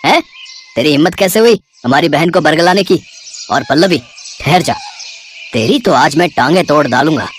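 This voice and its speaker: A man's voice: on the high side, animated, normal speed, pauses often, slight background noise, close to an everyday voice. A man voicing a furious, bullying brother in a story, aggressive and threatening.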